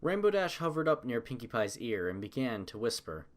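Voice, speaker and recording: A man with a medium-pitched voice narrates a scripted story. He reads the prose as a storyteller between the characters' lines. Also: very animated, a little fast.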